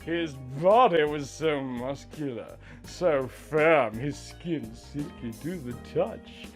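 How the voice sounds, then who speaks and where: Male narrator, higher-voiced, lingering over each phrase of a romance tale with theatrical drama. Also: slow pace. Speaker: narrator reading a fan story. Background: background music.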